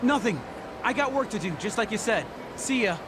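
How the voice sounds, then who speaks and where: A man's voice: sits high, lively intonation, normal speed. An actor playing a cheeky, ingratiating civilian man in a video game cutscene. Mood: cheeky, teasing. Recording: urban outdoor noise behind.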